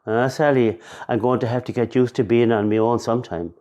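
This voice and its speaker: An actor playing a grumpy, grieving older Irish father in a radio play, gruff and sardonic in manner. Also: mid-range voice, steady tone, a little fast, warm tone, muffled recording, close to an everyday voice. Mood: gruff affection.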